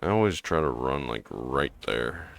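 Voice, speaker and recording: A hoarse man playing a game and thinking aloud in a rambling, casual way, with fillers and restarts. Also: extremely low pitch, very animated, measured pace, fairly bright tone, no echo. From American Midwest. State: hoarse from allergies. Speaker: gaming streamer. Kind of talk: casual gameplay commentary.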